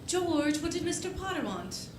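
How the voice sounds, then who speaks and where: An actress playing a gentle, loving, teasing young wife in a live 1940s-style radio play. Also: female voice, extremely high pitch, very animated, normal speed, distant mic, music in the background. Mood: playful. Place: live stage performance. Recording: noisy, roomy, off-mic stage sound.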